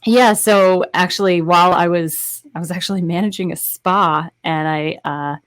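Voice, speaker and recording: Female panel guest, thirties, lowish voice, dry sound, informal storyteller. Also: fairly even intonation, normal speed, fairly bright tone, smooth, dry recording. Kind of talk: casual anecdotal panel talk. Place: indoor home recording space, video call.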